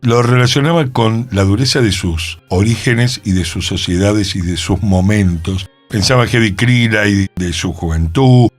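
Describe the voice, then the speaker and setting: An Argentine man interviewing on radio in a reflective, tentative way, as if thinking aloud. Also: fairly low pitch. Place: radio studio.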